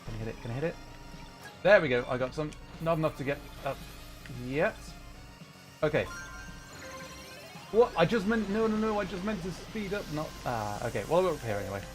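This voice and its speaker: A man's voice: on the high side, very animated, brisk, pauses often, over music. A man livestreaming a video game, chatting casually and thinking aloud in short reactive bursts. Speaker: livestream host, VTuber. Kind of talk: casual livestream chat while playing a racing game.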